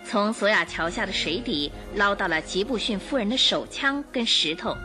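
A woman narrates a scripted radio drama. Her mid-pitched voice is expressive and lively, with music clearly playing underneath. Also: normal speed.

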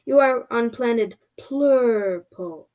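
A woman's voice: a little high, slow pace, darkish tone. A woman voicing a helpful, polite gorilla-horse creature in a fantasy story. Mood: explaining. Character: helpful gorilla-horse centaur creature, calm, apologetic rescuer.

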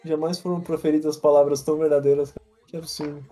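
A young man with a Brazilian Portuguese accent, co-hosting a casual podcast chat and telling stories in a loose, profane, animated way. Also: on the high side, fast. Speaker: podcast co-host.

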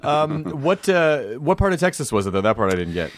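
Man, slightly high voice, dry studio-like sound, playful interviewer, casual repetitive phrasing. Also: big pitch swings, brisk, no room sound. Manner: playful, repeats himself for effect. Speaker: interviewer, show host. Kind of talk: casual joking interview chat.

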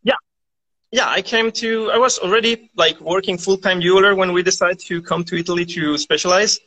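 A man's voice: high-pitched, fairly even intonation, fairly quick, pushed and bright, poor audio. A man from Chile, chatting casually as an interview guest in a rambling way, often saying "like". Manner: rambling, peppered with "like". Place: live video call.

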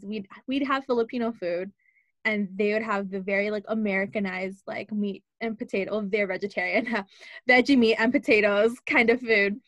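A woman's voice: mid-range voice, dry recording, clean studio sound. A young woman from Los Angeles chatting casually, using lots of "like" and "um" fillers. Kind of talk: casual conversation.

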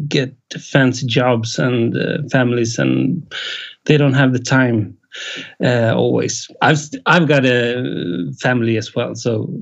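A middle-aged man from Sweden with a Swedish accent, a musician guest in a casual interview, speaking haltingly with many filler pauses and frequent chuckles. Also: mid-range voice, vocal fry. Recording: dull lo-fi sound.

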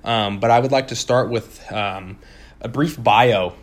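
A young man talking casually and haltingly on his solo podcast, using informal slang. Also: medium pitch. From California, born in Puerto Rico. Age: young adult. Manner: informal, slangy, hesitant with frequent pauses. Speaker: podcast host.

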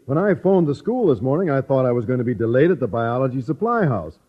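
A man's voice: medium pitch, sing-song, fast talker, breathy edge. An actor playing a mild, naive male teacher in an old American radio comedy, earnest and polite. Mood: cheerful, puzzled. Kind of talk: 1940s-50s radio situation comedy acting. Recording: dull old radio recording, studio audience.

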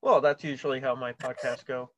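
A man hosting a podcast in a relaxed, agreeable way, chiming in with short affirmations and easygoing follow-ups. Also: medium pitch, a little fast, slight background noise. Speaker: podcast host. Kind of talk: casual interview chat. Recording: dry, dull lo-fi recording.

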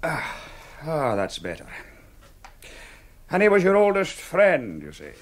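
Older Scottish minister, lilting and courteous. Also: male voice, a little high, wildly swooping pitch, slow, full character voice. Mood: probing, genial. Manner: hesitant, courteous, inquisitive. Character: hospitable but quietly probing island church minister. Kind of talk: radio drama acting.

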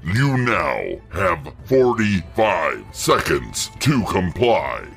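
A man's voice: low voice, huge pitch swings, very slow, gravelly, fairly bright tone, faint music behind, exaggerated voice. A man voicing a menacing, cold security droid with stiff, formal robotic delivery in a sci-fi radio drama. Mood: cold menace.

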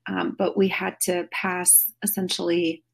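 Husky woman with a gravelly, low voice. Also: monotone, a little slow. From Russia. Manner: chatty, rambling with fillers and restarts. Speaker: podcast guest. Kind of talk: casual remote interview. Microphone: phone or video call.

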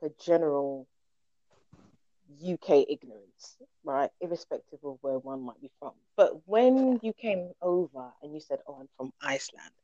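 A woman interviewer with a very animated, up-and-down delivery and a mellow tone. She asks her questions informally, as in a friendly chat. The recording is dry and slightly dull. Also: low voice, no echo.

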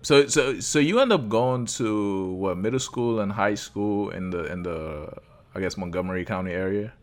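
A man's voice: on the low side, moderate pace, soft background music. A man interviewing a guest in casual conversation, friendly and affirming. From Cameroon. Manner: friendly, affirming, lots of okays.

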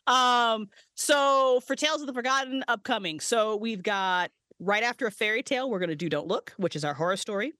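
A woman voice actress and writer, a podcast guest, talking excitedly and swearing freely about her projects. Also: fairly high pitch, a little fast, hardly any pauses, no echo, clean studio sound. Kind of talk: casual podcast interview. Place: small handmade vocal booth.

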